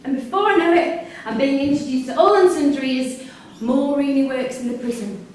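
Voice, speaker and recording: An actress in a live staged reading playing a disillusioned, confrontational prison worker with an emphatic, pointed delivery. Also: female voice, sits high, moderate pace, darkish tone, close to an everyday voice. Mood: wry, bitter. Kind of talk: live theatre acting. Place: theatre performance space in Salford. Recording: echoey hall, distant mic, faint noise.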